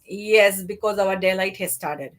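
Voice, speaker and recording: A woman's voice: mid-range voice, a little slow. A woman from Fiji, a guest talking in a casual live interview over a video call, friendly and rambling, laughing often. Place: home in Sydney, afternoon.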